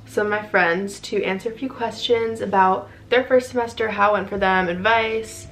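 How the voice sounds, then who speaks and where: A woman's voice: mid-range voice, steady tone, moderate pace, a bit bright, poor audio. A young woman from New Jersey talking casually to camera as a vlogger, with lots of "um" and "like". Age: young adult. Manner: chatty, frequent "um" and "like". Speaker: vlogger, college freshman.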